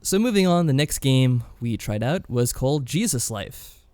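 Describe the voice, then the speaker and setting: Guy on a games podcast, chatting casually, measured and mild, dry recording no room sound. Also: mid-range voice, big pitch swings, mellow, clean tone, no room sound. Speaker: podcast co-host. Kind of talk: casual game discussion.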